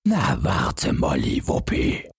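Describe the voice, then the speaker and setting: Man voicing a very deep, menacing giant. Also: huge pitch swings, takes it slowly, vocal fry, husky, no echo, studio quality, exaggerated voice. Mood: threatening. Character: angry, threatening two-faced male giant. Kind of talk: storybook character reading.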